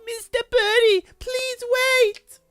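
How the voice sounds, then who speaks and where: Perky game schoolgirl, high voice, eager and pleading, very lively, dry sound. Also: slow, dry recording. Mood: teasing, calling.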